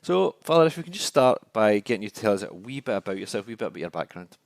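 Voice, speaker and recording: A man's voice: lowish voice, sing-song, very fast, gravelly, no room sound, studio quality. A man hosting a podcast interview, asking friendly, brief questions. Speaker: podcast host.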